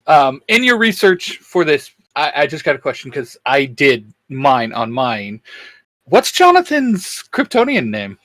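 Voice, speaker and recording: Guy w a kinda high voice chatting comics, close dry sound. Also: huge pitch swings, measured pace, a bit bright, dry recording. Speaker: co-host of a geek-culture discussion show. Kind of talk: casual fan chat about comics.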